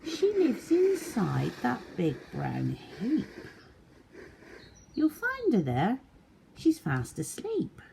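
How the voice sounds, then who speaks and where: A woman's voice: on the high side, huge pitch swings, very slow, gravelly, dark tone, slight background noise, voice pushed up, full character voice. A woman voicing a crafty fat red hen, helpful and deceitful, in a children's storybook. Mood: sweetly sly. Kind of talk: children's storybook read aloud.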